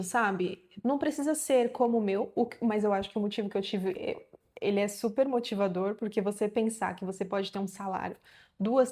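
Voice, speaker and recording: A woman's voice: medium pitch, dark tone. A woman in her thirties from Brazil, talking casually to camera in an encouraging, chatty way with many fillers. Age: 30s. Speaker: vlogger.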